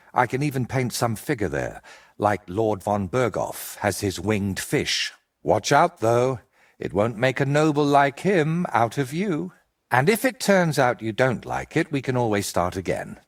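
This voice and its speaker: Animated, ambitious medieval painter man. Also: mid-range voice. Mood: helpful, explaining. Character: ambitious, vain male medieval painter, eloquent dreamer. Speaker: painter character. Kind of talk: video game cutscene dialogue.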